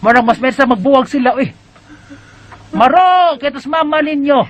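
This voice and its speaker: A woman's voice: high voice, lively intonation, normal speed. An actor voicing a gossiping, disapproving old grandmother in a radio drama. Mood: gossipy. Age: older.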